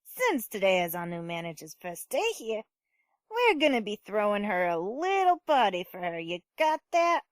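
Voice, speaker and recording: A woman's voice: mid-range voice, very animated, normal speed, no room sound, clean studio sound, natural voice. A woman playing a brash, teasing mother who talks bluntly and bossily in a fan audio drama. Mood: bossy. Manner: blunt, slangy, bossy.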